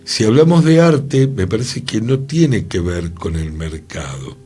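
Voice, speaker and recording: Husky slow-talking Argentine man. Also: on the low side, expressive, warm tone, faint music behind. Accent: Rioplatense Spanish. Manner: thoughtful, questioning, emphatic. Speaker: radio show co-host. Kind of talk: reflective radio conversation. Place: radio studio.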